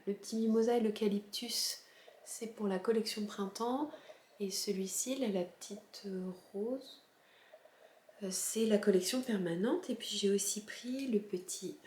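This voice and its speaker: A woman's voice: mid-range voice, a little mellow. A woman from southern France chats casually on her knitting podcast as she shows off small purchases. She talks hesitantly, full of fillers and restarts. The room sounds echoey, with a faint hiss behind her. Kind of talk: casual show-and-tell chat.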